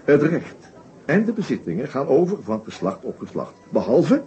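An actor playing a shrewd, methodical detective inspector in a Dutch radio play, explaining his case deliberately with small hesitations. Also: medium pitch, sing-song, mellow, lightly acted. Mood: assured, revealing. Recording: dull old radio play recording.

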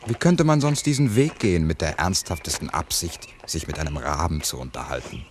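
Sceptical photographer, low voice, dry, teasing, precise, noisy old mono radio drama. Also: male voice, animated, fairly quick. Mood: wry, teasing. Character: sceptical, wry, inquisitive male photographer. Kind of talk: 1960s German radio play acting.

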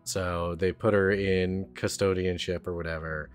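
A man co-hosting a podcast, casually discussing films with a musing, halting way of phrasing things. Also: low-pitched, flat delivery, faint music behind. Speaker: podcast co-host.

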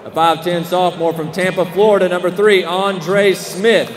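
A young American man working as a public address announcer at a basketball game, with a booming showman delivery and drawn-out names. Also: fairly even intonation. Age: young adult. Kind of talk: arena sports announcing. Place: courtside in an indoor basketball arena. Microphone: desk microphone on a short stand. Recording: echoing arena PA with loud crowd noise. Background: crowd noise and arena ambience.

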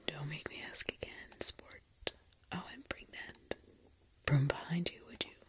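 A cruel, grinning young man taunts his victims in a hushed, menacing whisper, as a woman puts him on. He mocks and threatens with a pleasant tone that makes it worse. The sound is soft and close, like a horror story told at night. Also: low-pitched, lively intonation, a little slow, voice pushed down. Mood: taunting.

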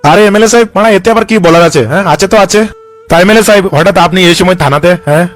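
A man's voice: sits high, racing, close to an everyday voice. A man voicing a corrupt, cocky police officer in a comic cartoon, coarse and glibly reassuring. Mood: placating. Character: corrupt, cocky, foul-mouthed male police officer, slippery. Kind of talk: comic cartoon dub dialogue.

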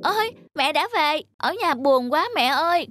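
A woman voicing a childlike, expressive young rabbit, sleepy, lazy and earnest, for a children's cartoon. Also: high-pitched, normal speed. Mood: regretful. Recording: background music under the voice.

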